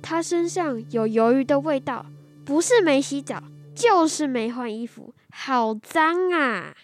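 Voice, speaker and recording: A man's voice: very high-pitched, animated, a little slow, faint music behind. A young boy playing a teasing, rude schoolboy classmate in a radio drama. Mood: mocking. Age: child.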